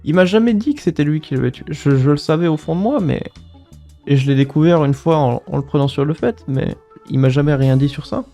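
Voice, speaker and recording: A man doing a frail old grandmother, her voice raised and gravelly, with a soft, dark tone. She speaks slowly and hesitantly and loses her thread. Faint music plays underneath. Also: on the high side, close to an everyday voice. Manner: slow, hesitant, forgetful. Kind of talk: tabletop role-play.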